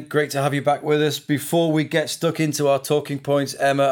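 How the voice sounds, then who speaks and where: A man hosting a news discussion podcast, presenting topics and putting questions to guests. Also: mid-range voice. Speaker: podcast host. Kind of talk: scripted introduction and news discussion.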